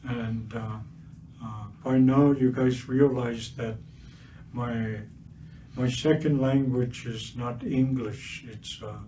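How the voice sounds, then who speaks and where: An older man whose first language is Blackfoot, giving an earnest public talk with many pauses and fillers and a touch of gentle humor. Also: medium pitch, steady tone. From Siksika Nation, Alberta, Canada. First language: Blackfoot (Siksika). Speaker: guest speaker, residential school survivor. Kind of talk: public talk at a livestreamed community event. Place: cultural centre event space. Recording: distant mic with faint ambient noise.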